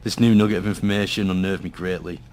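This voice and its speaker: A man's voice: low voice, fairly even intonation, fast talker, a little mellow, a little hiss or hum, natural voice. An actor playing a gloomy hard-boiled male detective, narrating and talking in a noir radio play with brooding drama. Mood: brooding, grim.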